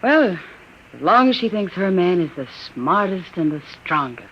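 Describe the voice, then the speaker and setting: A woman's voice: lowish voice, sing-song, moderate pace, slight background noise. An actress playing a nervous, fretful gangster's girlfriend in a 1950s radio drama, with a breathless manner. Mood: uneasy. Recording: dull lo-fi old radio recording.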